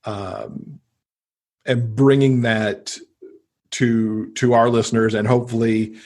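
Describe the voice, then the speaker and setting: A man's voice: medium pitch, fairly even intonation, takes it slowly, a little mellow. A man talking casually as a guest in an interview, chatty and enthusiastic about books. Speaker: podcast guest; podcast host and author.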